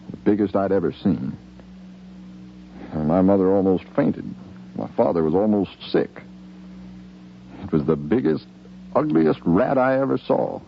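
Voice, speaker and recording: An actor playing a stern, world-wise American police chief in a 1950s radio drama, commanding and plain-spoken. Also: male voice, lowish voice, creaky. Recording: noisy, muffled 1950s radio recording.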